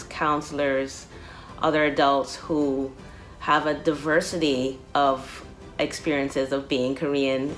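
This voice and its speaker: A middle-aged woman, giving a measured testimonial as a parent, searching for words. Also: very deep voice, rough recording. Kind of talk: informal testimonial talk.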